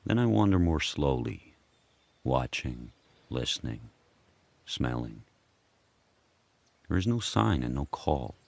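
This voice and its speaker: An actor voicing a powerful, solitary dog turning wild, telling his story in terse, measured present-tense sentences for a radio drama. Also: extremely low pitch, very animated, gravelly, a little mellow, no echo, full character voice. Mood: watchful, measured.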